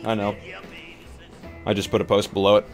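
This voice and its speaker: Low-voiced man chatting casually over music. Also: monotone, fairly quick. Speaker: stream co-host. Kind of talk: casual livestream chat.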